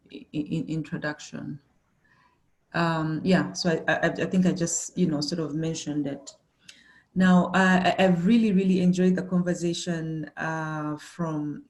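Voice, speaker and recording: A middle-aged woman giving a webinar panel talk, thoughtful and hesitant, with many fillers and restarts. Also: deep voice. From Uganda. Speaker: panelist, company managing director.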